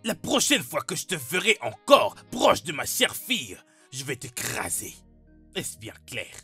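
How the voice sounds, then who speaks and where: A man's voice: medium pitch, very animated, normal speed, bright, forceful tone, breathy edge, no room sound, soft background music, exaggerated voice. An actor playing a stern, menacing middle-aged father, domineering and confrontational, in a dubbed melodrama. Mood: furious, threatening.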